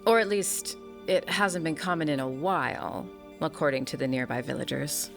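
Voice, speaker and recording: An actor playing a sober investigator delivering a report in a fantasy audio drama, measured and matter-of-fact. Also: male voice, fairly high pitch, creaky, a bit bright, soft background music. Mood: grave.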